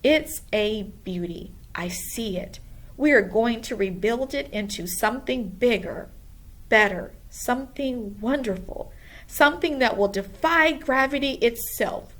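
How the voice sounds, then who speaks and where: A woman's voice: a little high, lively intonation, unhurried, fairly bright tone. A woman voicing an earnest schoolboy outcast and dreamer in a storybook, heartfelt and insistent. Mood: awed, determined.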